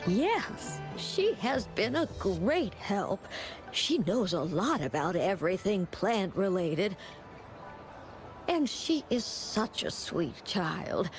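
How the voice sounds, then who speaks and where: A woman voicing a kindly elderly flower-shop grandmother in a video game, gentle, affectionate and unhurried in manner. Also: mid-range voice, big pitch swings, soft background music. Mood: fond. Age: older.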